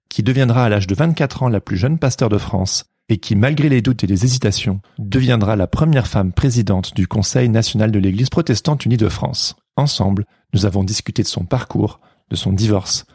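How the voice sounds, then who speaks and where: A man hosting a podcast, reading a scripted introduction and then chatting with his guest in a friendly, measured way. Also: fairly low pitch, a little mellow, breathy edge, no echo, clean studio sound. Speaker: podcast host.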